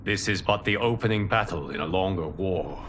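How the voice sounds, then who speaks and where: An actor playing a calm male protector who leads his allies and speaks in a composed, earnest way in a game cutscene. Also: sits low, normal speed, background noise, natural voice. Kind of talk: video game cutscene acting. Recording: game audio with music bed.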